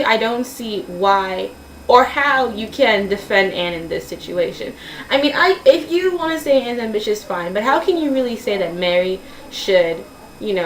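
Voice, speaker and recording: A woman's voice: mid-range voice, a bit bright. Woman talking casually in an echoey room. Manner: earnest, opinionated, halting with self-corrections. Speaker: vlogger posing opinion questions to viewers. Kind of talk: casual opinion vlog talk. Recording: roomy echo, distant mic, faint hiss.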